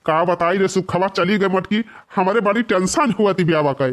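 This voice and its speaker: A man's voice: high voice, moderate pace, natural voice. A man voicing a carefree, scheming village buddy in a cartoon comedy, chatty and persuasive, with an Awadhi accent. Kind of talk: cartoon comedy dialogue in rustic dialect.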